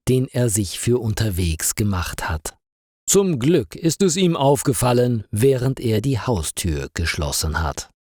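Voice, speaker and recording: A man narrating a scripted story for language learners in a careful, deliberate way. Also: medium pitch, a little mellow, no echo, clean studio sound. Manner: careful, clearly paced for learners.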